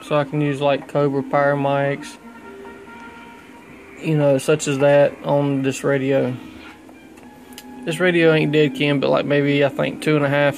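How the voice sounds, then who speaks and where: A man walks through his equipment in a casual, unscripted way. His delivery is slow and halting, with a very flat pitch. There is a gravelly creak in his plain-spoken voice. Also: low-quality recording. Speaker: radio hobbyist showing his equipment. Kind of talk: casual unscripted gear review. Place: small indoor room at home.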